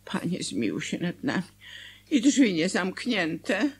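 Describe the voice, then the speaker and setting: An actress in a radio drama playing an anxious, pious, loving middle-aged wife with a breathy voice. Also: female voice, lowish voice, slow, slight background noise, full character voice. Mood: hushed, astonished. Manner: expressive, agitated. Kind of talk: Polish radio drama acting.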